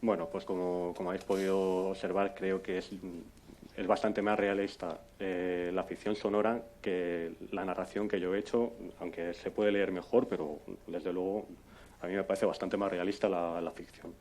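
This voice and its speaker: A man with a low voice and a Spanish accent from Spain, presenting at a conference. He speaks casually and hesitantly, with faint noise in the background. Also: level tone, normal speed, darkish tone. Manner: hesitant, conversational. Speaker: conference speaker, amateur audio drama scriptwriter. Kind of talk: informal public talk. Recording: faint background noise, live room ambience.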